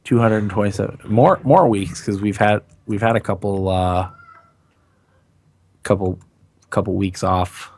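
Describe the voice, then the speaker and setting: A man with a raspy, hoarse voice that is recovering, chatting casually and a bit haltingly as a podcast host. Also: lowish voice, darkish tone. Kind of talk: casual chat.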